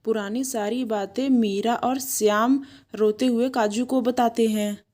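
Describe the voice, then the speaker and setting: A woman narrating an animated moral tale in a scripted storytelling style. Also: fairly high pitch, measured pace.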